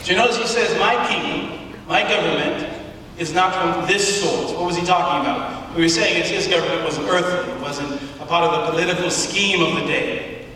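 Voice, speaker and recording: Echoey, high-voiced man preaching. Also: sharp tone. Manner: emphatic, deliberate, with rhetorical questions and pauses. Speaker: preacher giving a public address. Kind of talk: sermon. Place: large auditorium. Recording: echoey hall from far off, faint noise.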